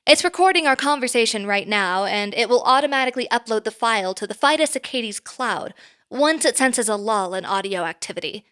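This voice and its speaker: A woman's voice: on the high side, lively intonation, fairly quick, a bit bright, smooth. A woman playing a poised female boss in an audio drama, speaking formally and precisely as she earnestly interviews an applicant. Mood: businesslike. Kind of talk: audio drama acting, conversational.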